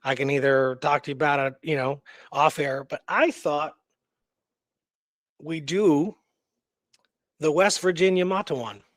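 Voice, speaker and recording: A man co-hosting a history show, talking off the cuff in a halting way with emphatic repetition. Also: on the high side, steady tone, no echo, clean studio sound. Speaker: co-host of a history radio show. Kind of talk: unscripted history discussion.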